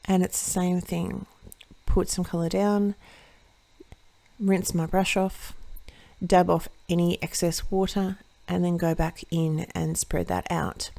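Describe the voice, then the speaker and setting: Chatty woman with a low, slightly croaky voice. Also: little pitch movement, slow, dark tone, no echo. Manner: chatty, self-correcting, thinks aloud while painting. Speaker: card-making tutorial host. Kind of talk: casual step-by-step craft demonstration.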